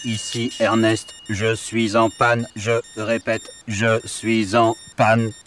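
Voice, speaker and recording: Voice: medium pitch, monotone, slow, heavy background noise, natural voice. An actor voicing a friendly, anxious alien robot in a children's cartoon, with a babbling nonsense verbal tic. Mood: worried. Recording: music behind the voice.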